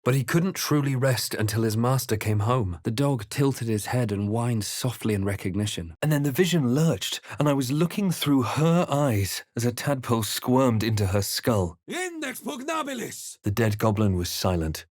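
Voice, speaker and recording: A fantasy video game hero who is watchful and brooding. He speaks in measured, dramatic tones at an ordinary male pitch. The sound is very dry and intimate, with no room around it. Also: very animated, darkish tone, no room sound. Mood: intimate, tense, then forceful incantation. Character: adult male fantasy adventurer, composed, observant and brooding. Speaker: video game player-character voice. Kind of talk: dramatic first-person narration and character barks.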